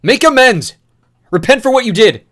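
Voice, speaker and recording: A man's voice: mid-range voice, quick pace, close to an everyday voice. A man voicing a furious young man sliding into madness, ranting and screaming bitter accusations. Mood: raging. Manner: screaming, ranting, spitting rage.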